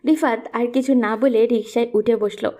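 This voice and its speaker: A woman's voice: a little high, steady tone, normal speed, mellow, faint music behind. A woman narrating a scripted romantic audio story.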